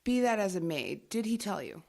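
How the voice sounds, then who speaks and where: An actress playing a secretive government agent in an audio drama, dry and unflappable. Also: female voice, medium pitch, very animated, brisk, gravelly, a little mellow. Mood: wry.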